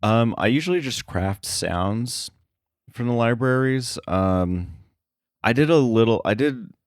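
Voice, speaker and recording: A man speaking as an interview guest in casual chat, halting and informal, thinking his way through each phrase. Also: medium pitch, expressive, no room sound, studio quality. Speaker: interview guest, sound editor.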